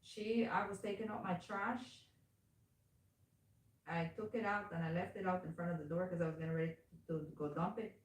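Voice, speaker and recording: A woman's voice: lowish voice, moderate pace, recorded from a distance. A middle-aged woman with a strong Caribbean English accent chatting with a client in her nail salon, telling stories with lots of acted-out voices. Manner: animated storyteller, acts out quoted voices. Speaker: nail shop owner. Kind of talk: casual chat while working. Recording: echoey room, mic set back.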